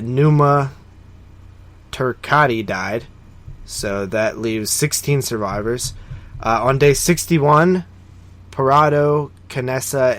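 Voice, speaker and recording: Guy cohosting a casual podcast, average pitch, kinda halting, reads thru his notes informally. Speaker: podcast co-host. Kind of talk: casual movie discussion, recounting notes.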